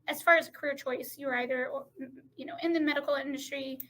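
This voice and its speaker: A middle-aged woman speaking as a podcast guest in a halting, unhurried way, pausing often to find her words. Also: high voice, fairly even intonation, a bit bright. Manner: halting, frequent pauses and restarts. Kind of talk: casual interview talk.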